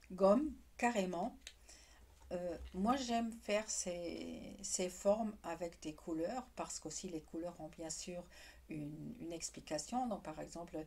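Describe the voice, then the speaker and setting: A woman teaching a craft in French, with a non-native accent, speaking in a halting way with frequent restarts. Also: on the low side, vocal fry, mellow, husky. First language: not French. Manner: halting, restarts words often. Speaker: witchcraft teacher. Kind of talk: casual explanatory tutorial.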